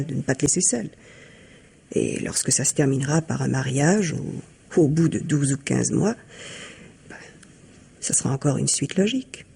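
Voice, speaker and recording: Very husky, calculating mistress. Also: female voice, low voice, a little mellow, full character voice. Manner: coaxing, instructing, persuasive. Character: calculating, controlled mistress and office collaborator plotting crime. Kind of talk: 1950s French radio mystery acting.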